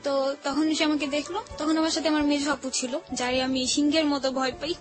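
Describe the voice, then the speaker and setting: A woman's voice: sits high, little pitch movement, a little fast. A young woman with a Dhaka Bangladeshi accent, telling a personal story informally and quoting remembered conversations. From Old Dhaka, Bangladesh. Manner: colloquial, acts out remembered conversations. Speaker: guest telling her life story. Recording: music playing under the voice.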